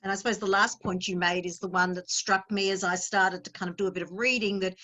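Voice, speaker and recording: A woman interviewer asking a guest questions in a measured, conversational way. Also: mid-range voice, steady tone, bright, forceful tone. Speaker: interviewer, organisation president.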